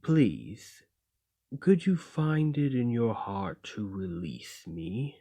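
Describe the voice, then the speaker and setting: A man playing an imprisoned clay golem in an ASMR roleplay, polite and learned, speaking in formal, deliberate phrases. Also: medium pitch, sing-song, dark tone, husky, heavily acted. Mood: earnest, pleading. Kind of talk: scripted ASMR roleplay acting.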